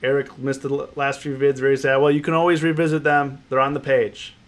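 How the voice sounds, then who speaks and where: A man's voice: mid-range voice, flat delivery, fairly quick. A man with a stuffy, nasal voice from a cold, hosting a trading livestream, chatting casually and greeting viewers by name. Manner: rambling, repeats phrases, greets viewers by name. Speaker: options trading livestream host. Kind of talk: casual livestream commentary, reading chat.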